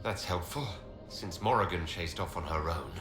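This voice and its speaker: An actor playing a composed, inquisitive heroic leader in a fantasy game, with a dry manner. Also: male voice, deep voice, moderate pace, close to an everyday voice. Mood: dry, probing. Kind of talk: video game dialogue acting. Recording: echoey, music underneath.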